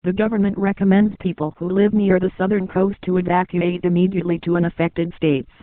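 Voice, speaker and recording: A man's voice: high-pitched, little pitch movement, brisk, dry recording. A man reads a scripted emergency announcement for a TV station, with a formal, impersonal delivery. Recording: narrow phone-like band, dry.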